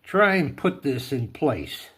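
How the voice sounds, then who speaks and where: Slow-talking, folksy man. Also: mid-range voice, big pitch swings, fairly bright tone, husky. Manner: folksy, playful, talks himself through steps. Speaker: host of a DIY repair video. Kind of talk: casual hands-on tutorial narration.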